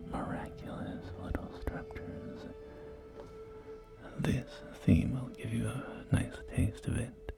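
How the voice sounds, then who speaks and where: A man playing a polite, helpful real estate agent in a post-apocalyptic ASMR roleplay, speaking softly and courteously with small hesitations. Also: medium pitch. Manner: soft-spoken, hesitant, unhurried, courteous. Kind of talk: ASMR roleplay, whispered sales tour. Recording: close whisper over clear background music. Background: music and game audio.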